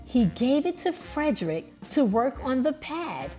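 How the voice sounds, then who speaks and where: Expressive, lively woman reading a children's story. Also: high-pitched, soft background music. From New York. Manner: deliberate, expressive. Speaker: children's read-aloud host.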